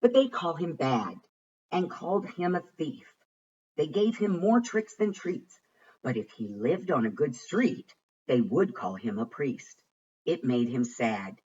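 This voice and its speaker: A man reading a rhyming children's storybook aloud with a rhythmic, expressive and deliberate delivery. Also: a little high, breathy edge, lo-fi sound. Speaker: reader of a children's picture book.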